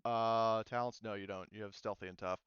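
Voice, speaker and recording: A man's voice: fairly low pitch, fairly even intonation, a little fast, a bit bright, clean tone, dry recording, muffled recording. A man speaking casually as a game master, explaining rules and thinking aloud in a hesitant, informal way.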